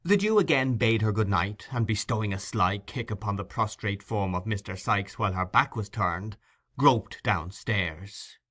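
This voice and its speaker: A man narrating classic fiction as a scripted audiobook, in a measured, articulate storytelling manner. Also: on the low side, lively intonation, a bit bright.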